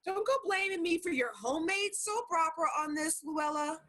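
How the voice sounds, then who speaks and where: A woman's voice: very high voice, moderate pace, sharp tone. A woman playing a blunt, taunting goddess who reads fortune cards in a comedy screenplay reading. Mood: scolding. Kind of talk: comedy screenplay table read. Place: online video call reading.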